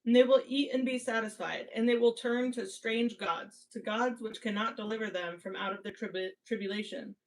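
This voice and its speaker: A woman's voice: on the high side, fairly even intonation, normal speed, few pauses, slight background noise, rough recording. A woman co-hosting a casual livestream, chatting playfully and reading Bible passages aloud. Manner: playful, chatty, reads scripture steadily. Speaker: livestream co-host.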